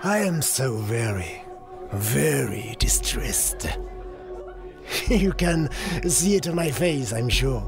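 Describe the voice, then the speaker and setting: A man voicing an unhinged, theatrical clown crime boss in a motion comic, mock-polite and halting, with menace underneath. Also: medium pitch, expressive, creaky, music in the background.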